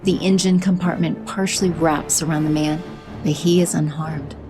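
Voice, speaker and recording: A woman narrating an action story in a brisk, vivid way. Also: low-pitched, steady tone. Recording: voice over music score.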